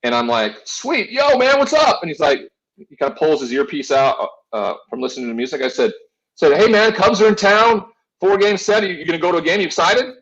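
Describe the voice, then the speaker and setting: A man in his forties with a fairly high voice talks sports as a show host. He rambles boisterously through casual stories and swears freely. The audio is a little dull and lo-fi. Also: very animated, a little fast, fairly bright tone. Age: 40s. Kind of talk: casual sports chat with personal anecdotes.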